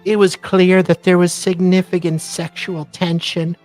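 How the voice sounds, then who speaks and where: A woman's voice: on the low side, level tone, measured pace, darkish tone. A woman co-host delivers a dramatic read-aloud of written documents. Her manner is theatrical and teasing, with mocking, conversational asides. Faint music plays behind her voice. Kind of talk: dramatic reading of court documents with casual asides.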